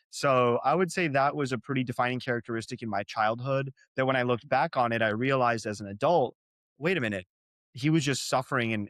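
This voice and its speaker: A man's voice: mid-range voice, a bit bright, dry recording. A young man from Arizona, a native Gujarati speaker, speaking as an interview guest, earnest and reflective, often repeating words as he thinks. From Arizona, USA. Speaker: company co-founder, guest. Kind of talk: interview, personal storytelling.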